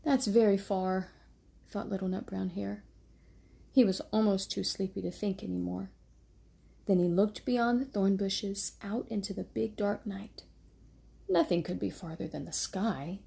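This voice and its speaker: A woman reading a children's bedtime story aloud as a mother, gently, with casual asides to her small child. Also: medium pitch, measured pace, gravelly, slightly rough. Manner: gentle, motherly baby talk.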